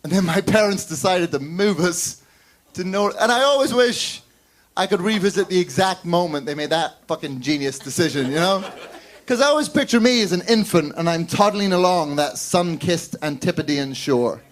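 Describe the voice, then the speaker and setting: High lively Northern Irish man, wry. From Northern Ireland. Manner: wry, deadpan storytelling with quick character voices. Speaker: stand-up comedian. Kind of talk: stand-up set. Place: comedy club stage.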